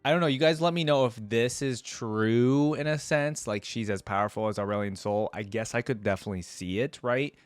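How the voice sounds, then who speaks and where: A man's voice: medium pitch, fairly quick, hardly any pauses, clean tone, no room sound. A man hosting a reaction video, giving casual, chatty commentary on a video game and thinking aloud in an easygoing way.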